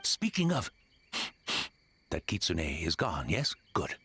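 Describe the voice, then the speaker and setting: A man voicing a proud, excitable tanuki mentor in a video game, with a theatrical, blustering and suspicious delivery. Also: sits low, takes it slowly, full character voice. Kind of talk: English video game voice acting.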